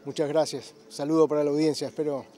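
A man's voice: a little high, flat delivery, fast, mellow. A middle-aged man, a breeder answering interview questions outdoors in a fluent, emphatic way. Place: outdoor livestock show grounds. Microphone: handheld interviewer mic.

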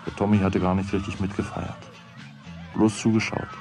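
A middle-aged man narrating a children's radio play as a calm storyteller. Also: on the low side, moderate pace, music in the background, close to an everyday voice. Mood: calm narration.

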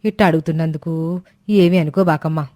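A woman's voice: low-pitched, fairly even intonation, brisk, dry recording, studio quality, lightly acted. A woman voicing a scheming, sweet-talking middle-aged village woman in a moral story. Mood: coaxing. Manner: coaxing, then sharp and mocking. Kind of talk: Telugu storybook voice acting.

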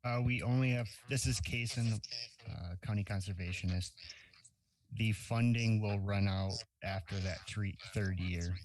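A man's voice: lowish voice, unhurried, darkish tone, low-quality recording. A man, a county official, answering meeting questions in a matter-of-fact way. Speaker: county conservationist.

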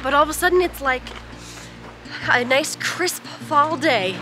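A woman's voice: high voice, measured pace. Casual woman vlogger with music behind. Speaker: travel vlogger. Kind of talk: casual vlog chat. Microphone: camera at arm's length.

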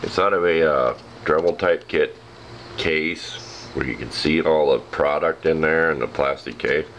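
A man with an American accent and a rough voice, casually explaining a homemade project in a plain, unhurried, folksy way. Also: a bass voice, fairly bright tone. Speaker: hobbyist demonstrating a homemade project. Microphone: handheld camera, speaker behind it. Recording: noisy home video with ambient sound.

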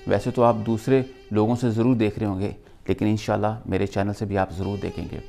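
A middle-aged man with a Pakistani accent speaks in a fairly low voice, delivering a motivational talk to camera. His phrasing is earnest and emphatic, broken by deliberate pauses. Soft instrumental music plays behind him. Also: flat delivery, gravelly.

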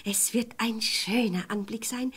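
An actress playing a naive, well-meaning and scatterbrained young peasant wife in a fairy-tale radio play, chatting artlessly. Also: female voice, a little high, steady tone, unhurried, a bit bright, slightly rough. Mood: self-satisfied scheming. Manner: artless, chatty, talking to herself.

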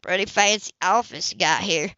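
A woman's voice: medium pitch, normal speed, bright, forceful tone, no room sound, close to an everyday voice. An easygoing ghost of someone's father in an audiobook read by a woman, cheerful, casual and folksy. The recording is dry and a little muffled, with a lo-fi homemade sound.

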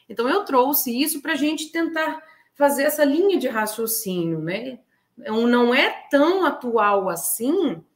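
A middle-aged Brazilian woman giving an informal online lecture, explaining step by step with frequent check-in tags. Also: a little high. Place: indoor room, online talk. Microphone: video call.

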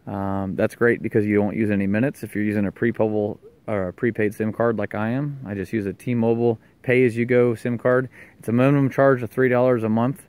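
A man's voice: on the low side, a little mellow, clean tone. An American man giving a casual, unscripted explanation as a DIY video maker, talking outdoors into a handheld camera. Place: outdoors in a leafy wooded yard.

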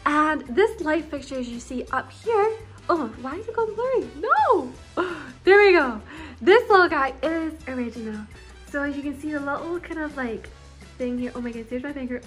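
A woman's voice: high voice, lively intonation, normal speed, faint music behind. Bubbly giggly young woman vlogging. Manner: giggly, bubbly, lots of "like" and "you guys". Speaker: vlogger giving a house tour. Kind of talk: casual chatty vlog. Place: bedroom of a house, indoors. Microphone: phone held at arm's length.

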